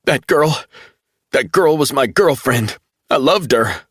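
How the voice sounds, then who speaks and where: A man's voice: very animated, normal speed, a bit bright, slightly rough, no room sound, exaggerated voice. A grief-stricken young man, sobbing and pleading, his voice strained and raised far above normal. A man acts him out in a narrated story. Dry, close sound. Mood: distraught pleading. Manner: sobbing, pleading, high-strung. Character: distraught young man, grieving hacker.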